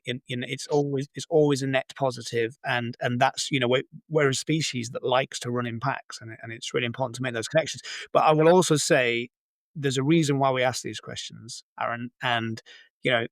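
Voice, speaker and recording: A man's voice: medium pitch, no echo. A man hosting an interview in a casual, discursive conversational style. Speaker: podcast interviewer.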